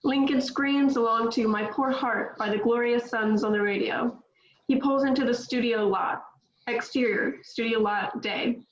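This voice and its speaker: A woman reads screenplay directions at a table read, her voice a little high. The room is echoey and the sound is dull and lo-fi. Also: a little slow. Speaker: script reader.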